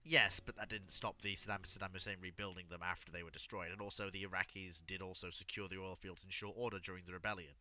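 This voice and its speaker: Bright, forceful man, stammering. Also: low voice, fairly even intonation, fast, few pauses. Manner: stammering, repeats words as he thinks. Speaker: livestream host answering listener questions. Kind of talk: casual alternate-history discussion.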